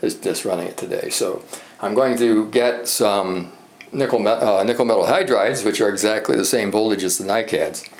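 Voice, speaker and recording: Low-voiced man rambling in an echoey room. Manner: rambling, halting, thinks aloud. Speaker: hobbyist presenter demonstrating a vintage radio repair. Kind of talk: casual unscripted show-and-tell. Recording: echoey room with background noise.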